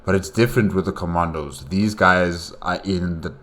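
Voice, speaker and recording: A man's voice: low-pitched, moderate pace, a bit bright. A man giving casual, unscripted reaction commentary in a loose, conversational way. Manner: loose, conversational, frequent "you know".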